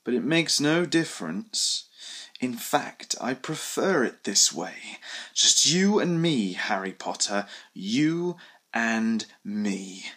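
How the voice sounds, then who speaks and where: A man reading an audiobook voices a sneering young male dark wizard who taunts his enemy in soft, drawn-out words. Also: medium pitch, big pitch swings, heavily acted. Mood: quiet menace. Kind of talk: audiobook character voice.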